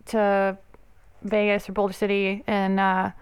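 Very clear-voiced woman from Bulgaria. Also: medium pitch, completely flat delivery, a little slow, no echo. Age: 30s. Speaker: interview guest, band member. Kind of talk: casual chat.

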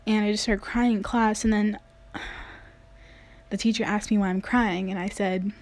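A woman's voice: medium pitch, steady tone, normal speed, a little mellow. Earnest teen girl telling her story. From United States. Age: late teens. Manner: earnest, casual, many "like" fillers. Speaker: vlogger. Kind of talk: personal storytelling to camera.

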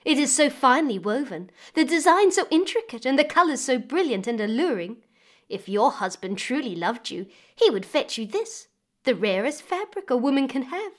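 A woman voicing a scheming, jealous woman who coaxes with sweet words, higher and louder than her narration. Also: very animated, normal speed. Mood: coaxing.

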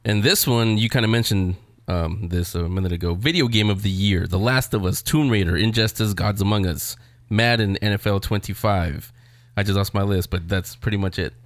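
A man's voice: lowish voice, normal speed, no echo. A man hosting a casual interview show, chatting in a joking, wisecracking way.

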